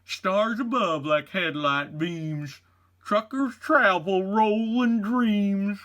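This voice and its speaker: A man playing a folksy, goofy country trucker with a husky voice, performing a comic read-aloud for children. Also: sits high, drawn-out words, a bit bright, exaggerated voice. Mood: hearty, dramatic. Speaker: storybook read-aloud host.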